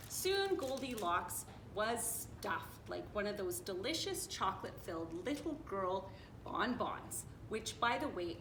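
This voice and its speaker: A woman reads a children's picture book aloud with playful asides and dramatic pauses. Her delivery is unhurried and highly animated, recorded in an echoey room. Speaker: storybook reader, teacher. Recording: roomy echo, clear background noise.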